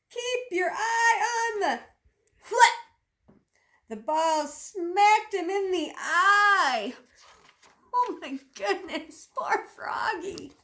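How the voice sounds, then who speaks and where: A woman reads a picture book to children as a teacher. Her voice is very high, with lively swings in pitch and an unhurried pace. She is expressive and leans on the sound words. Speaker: teacher reading aloud. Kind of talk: animated children's storybook read-aloud.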